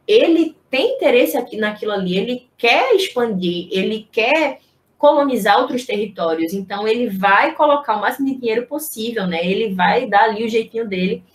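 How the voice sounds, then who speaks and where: A woman's voice: a little high, expressive, fairly quick. A young Brazilian woman giving an informal, explanatory online history lecture, posing rhetorical questions as she teaches over a video call. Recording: echoey room on video-call microphone.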